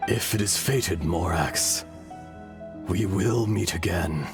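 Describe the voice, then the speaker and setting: Ancient dragon spirit, super deep and slow, very formal, music playing loud behind. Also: male voice. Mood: solemn. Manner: slow, grave, formal speech. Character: ancient dragon spirit in human form, solemn and loyal. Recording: game dialogue with loud background music.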